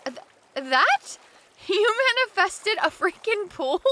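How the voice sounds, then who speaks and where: A woman's voice: very high-pitched, big pitch swings, takes it slowly, bright, forceful tone, slight background noise, exaggerated voice. An actress playing an amazed, curious young woman visiting a sci-fi city in an audio drama. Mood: awed, excited. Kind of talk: full-cast audio drama acting.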